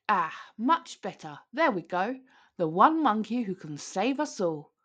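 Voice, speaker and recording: A woman reads a picture book aloud for children. Her pitch moves widely and expressively as she narrates. She is theatrical, drawing out important words for dramatic emphasis. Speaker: children's storyteller.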